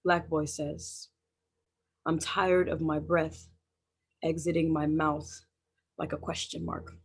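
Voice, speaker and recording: A poet reciting spoken word in a deliberate, emphatic way over a video call. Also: medium pitch, little pitch movement, slightly rough. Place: indoors, remote video call.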